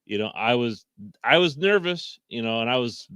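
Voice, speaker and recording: A man's voice: mid-range voice, expressive, normal speed, bright, forceful tone, clean tone, dry recording, clean studio sound. A man on a sports talk panel, speaking hesitantly with many fillers and restarts. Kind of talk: casual sports talk show.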